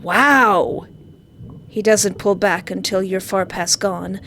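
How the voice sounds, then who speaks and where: A woman's voice: mid-range voice, slow, a bit bright, slight background noise. A woman reading a visual novel aloud and breaking in with sarcastic jokes and squeals of mock panic. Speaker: let's play gamer.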